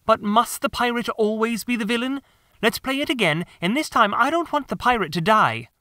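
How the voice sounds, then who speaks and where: A man's voice: extremely high pitch, animated, normal speed, bright, forceful tone, no room sound, pitched above the performer's own voice, exaggerated voice. A man voicing an indignant, stubborn young boy who argues and pleads, in an audiobook story.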